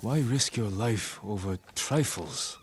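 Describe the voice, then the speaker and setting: An actor playing a stern, honorable samurai lord in a video game cutscene, terse, grave and weary. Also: male voice, on the low side, expressive, takes it slowly, slight background noise. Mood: grave, firm. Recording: music behind the voice.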